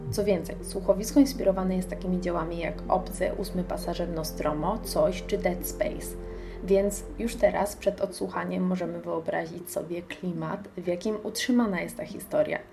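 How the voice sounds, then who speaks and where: A woman's voice: on the low side, steady tone, normal speed, creaky, over music. A woman hosting a radio show, presenting a review with her own commentary.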